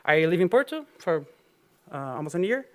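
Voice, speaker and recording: A man's voice: on the high side, very animated, normal speed. A man from Brazil with a Brazilian Portuguese accent, giving a conference talk in a loose, chatty way full of fillers and small laughs. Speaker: conference speaker. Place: auditorium.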